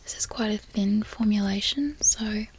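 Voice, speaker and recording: A young woman from Florida whispering softly in a chatty, rambling way, as a relaxed ASMR talk. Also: mid-range voice, moderate pace, a little hiss or hum. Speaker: ASMR creator.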